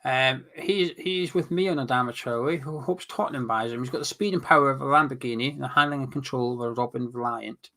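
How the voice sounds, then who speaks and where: A man's voice: mid-range voice, fairly quick, hardly any pauses, pushed and bright. A man co-hosting a casual live football chat, reading out viewer comments and teasing his co-host. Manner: reads out comments, teasing banter.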